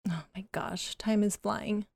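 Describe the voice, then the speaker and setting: A woman hosting a podcast in a casual, giggly, expressive, informal interview style. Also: medium pitch, a little slow, gravelly, dry recording, clean studio sound. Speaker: podcast host.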